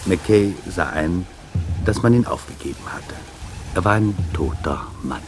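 A man reading a literary story as dramatic narration, in a deliberate and intense storytelling manner. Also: deep voice, animated, background noise. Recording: music behind the voice. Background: music.

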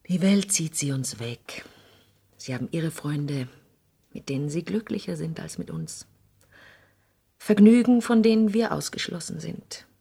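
An actress playing a wronged, proud middle-aged mother in a 1960s radio drama, speaking with intense, theatrical delivery that moves between hushed and forceful. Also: a bass voice, vocal fry, slightly rough, heavily acted. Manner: intense, theatrical, hushed passages swelling into outbursts.

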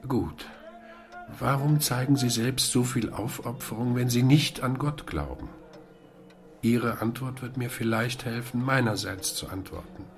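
A man playing a calm, resolute volunteer organiser in a German radio play, with a deliberate, questioning delivery. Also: mid-range voice, soft background music, close to an everyday voice. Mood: probing, calm.

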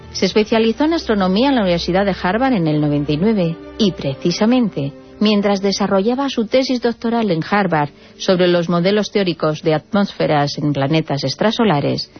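A woman with an accent from Spain presenting a radio segment as scripted biographical narration. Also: mid-range voice. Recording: dull radio audio over music. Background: clear background music.

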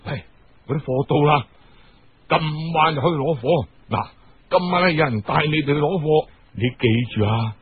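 A man doing a hushed, shady Cantonese go-between. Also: fairly high pitch, normal speed, slightly rough, voice pushed down. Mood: hushed, urgent. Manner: hushed, secretive, insistent. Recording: muffled, band-limited radio-style recording.

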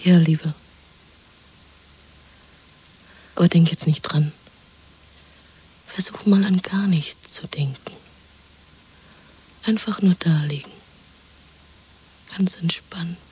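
Dreamy young woman plotting escape with her lover: very breathy whisper, soft mellow tone, coaxing and intimate, radio play. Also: lowish voice, slow pace, long pauses, full character voice, rough recording. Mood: tender, soothing. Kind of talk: 1970s German radio drama acting.

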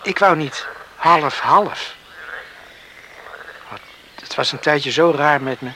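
An actor playing an earnest, tender young village man in a radio play, speaking in a halting, searching way. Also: fairly high pitch, pushed and bright, exaggerated voice. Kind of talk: Dutch radio play acting. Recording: noisy old radio play recording.

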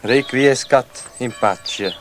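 An actor playing a solemn priest who intones a burial rite in a Dutch radio drama. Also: male voice, medium pitch, slow, fairly bright tone, faint music behind. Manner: liturgical, intoning.

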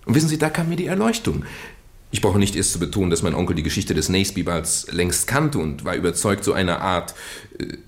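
A man acting in a German radio drama as a British gentleman nephew, earnestly and haltingly confessing a well-meant deception in genteel, roundabout phrases. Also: low voice, very animated. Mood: eager, justifying. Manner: hesitant, roundabout, genteel storytelling with stammered repeats.

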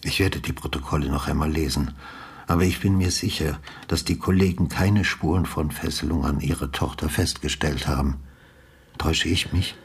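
Very deep, raspy old detective. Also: male voice, heavily acted. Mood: sceptical, calm. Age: older. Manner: measured, sober questioning. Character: brooding, patient former homicide detective, quietly probing. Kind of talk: understated German radio drama acting.

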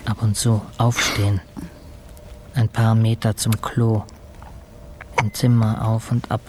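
An actor playing a weakened male patient, narrating his recovery in terse, measured fragments as a radio drama monologue. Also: lowish voice, level tone, background noise. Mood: weary, sober. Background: music.

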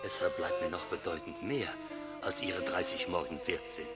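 An actor playing a stout, wealthy German merchant, wary and formal, in a 1960s radio drama. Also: male voice, low-pitched, normal speed, loud music behind the voice, natural voice. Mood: calm.

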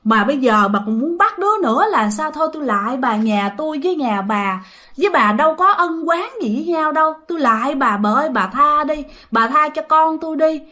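A desperate village mother, acted by a woman storyteller, pleading in a high, sharp voice. Her pitch moves a lot as she begs, repeating herself in fear, in the manner of an oral folk tale. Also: lively intonation, fairly quick. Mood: desperate begging. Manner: pleading, repetitive.

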